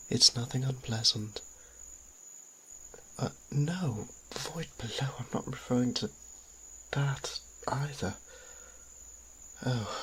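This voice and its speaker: A man playing a gentle, anxious vampire priest in an audio drama, hesitant and politely formal, with a husky, breathy voice. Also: medium pitch, slight background noise, poor audio. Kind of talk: scripted audio roleplay acting.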